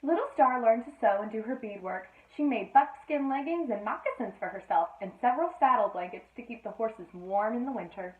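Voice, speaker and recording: Echoey, high-voiced woman reading a storybook. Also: expressive, brisk, few pauses. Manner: addresses listeners with playful questions, dramatic emphasis. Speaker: children's read-aloud storyteller, teacher. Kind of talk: expressive children's storybook read-aloud with casual asides. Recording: roomy, echoey, narrowband sound.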